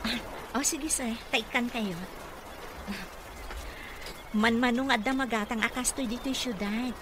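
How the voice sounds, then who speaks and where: A woman plays a polite young employee from the province in a radio comedy-drama. Her voice is high. The recording is clearly noisy. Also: steady tone, measured pace, lightly acted. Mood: polite, obliging.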